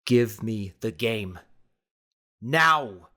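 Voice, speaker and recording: A man playing a tense, brooding eighteen-year-old high school boy with terse lines in an audiobook. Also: animated, very slow, bright, forceful tone, full character voice. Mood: angry, demanding. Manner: terse, dull, urgent. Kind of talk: audiobook character dialogue.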